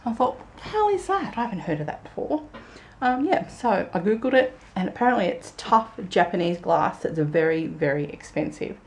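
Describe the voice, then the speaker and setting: A young woman chatting casually to camera in a vlog, croaky from a sore throat and rambling in a self-amused way. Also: medium pitch, very animated, normal speed. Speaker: vlogger.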